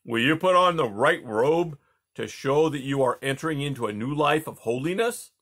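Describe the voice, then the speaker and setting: A man's voice: medium pitch, expressive, moderate pace, fairly bright tone. A man voicing a stern, formal Orthodox priest who speaks solemnly.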